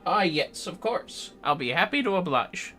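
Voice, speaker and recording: A man's voice: high voice, very animated, moderate pace, pushed and bright. A man chatting casually on a gaming livestream, sweary and playfully theatrical, with music behind him. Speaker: livestreaming gamer.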